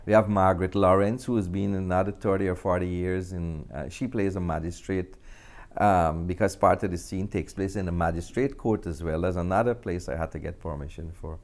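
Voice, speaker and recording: A middle-aged man with a Guyanese accent, talking in a halting, reflective way as a film director in an interview. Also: low voice, steady tone, a little mellow. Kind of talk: conversational interview.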